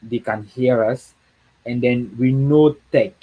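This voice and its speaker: A man's voice: medium pitch, slow, narrow, tinny audio. A man from Ghana with a Ghanaian accent, speaking as a guest on a livestream talk, earnest and encouraging, often repeating words for emphasis.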